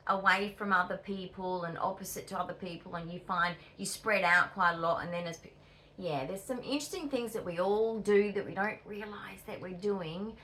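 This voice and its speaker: A woman vlogger from Denmark with a bright, forceful voice at a middling pitch. She chats casually and bluntly, swears freely and states her opinions without softening them. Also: moderate pace, a little hiss or hum, low-quality recording. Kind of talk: casual chat.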